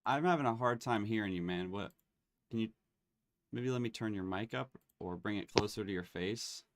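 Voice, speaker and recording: A man hosting a live online demo, chatting casually and getting excited as he tests tools. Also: on the low side, moderate pace, dry recording, clean studio sound. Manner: excitable, laughs and exclaims while testing tools.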